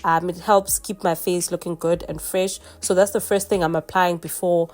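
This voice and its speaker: A woman's voice: on the low side, flat delivery, moderate pace, darkish tone. A young woman, congested from a cold, chatting through a makeup tutorial and explaining each step. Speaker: makeup vlogger.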